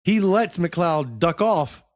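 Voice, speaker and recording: A man's voice: fairly high pitch, animated, slow pace. A man co-hosting a casual movie review podcast, correcting plot details in a dry, matter-of-fact way. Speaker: podcast co-host.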